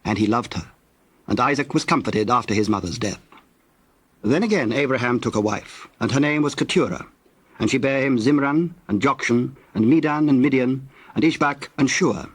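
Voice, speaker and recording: A man narrating scripture from a script in a measured, formal storytelling manner. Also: medium pitch, husky, no echo.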